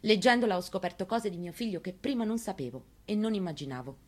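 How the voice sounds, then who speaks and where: An actress in a radio stage reading, playing an elderly grieving mother in a quiet, reflective monologue. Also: mid-range voice, expressive, fast, natural voice. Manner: quiet, reflective, conversational storytelling.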